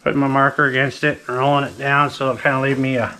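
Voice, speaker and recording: A man's voice: medium pitch, flat delivery, quick pace, bright, forceful tone, a little hiss or hum. A man hosting a video, casually explaining each step of a build while he works with a body-worn camera. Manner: plain step-by-step explaining while working with tools. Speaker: channel host. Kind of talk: casual how-to narration. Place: driveway outdoors, then house kitchen floor. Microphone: body-worn action camera.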